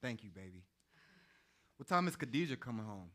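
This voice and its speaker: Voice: medium pitch, big pitch swings, a little fast, fairly bright tone, slight background noise. An actor playing an impatient, flirtatious man with terse, colloquial talk in a staged play reading. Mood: mellow, flirtatious.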